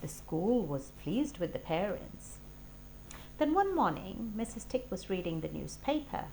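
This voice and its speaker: Storybook reader: woman, slow and clearly animated delivery aimed at young children, some noise on the recording. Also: mid-range voice, rough recording. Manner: deliberate, expressive reading for young children. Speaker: teacher reading a storybook aloud.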